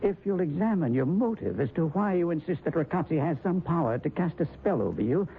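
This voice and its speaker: A man's voice: a little high, animated, fairly quick. A man playing a composed, probing psychiatrist in an old-time radio drama, questioning in a clinical, logical way. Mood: firm, logical. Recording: noisy, tinny old radio drama recording.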